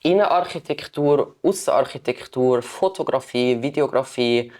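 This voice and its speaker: Chatty young Swiss woman, lively talk. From Switzerland. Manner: chatty, quick shifts in pitch, animated storytelling. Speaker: podcast guest. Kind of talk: casual conversation.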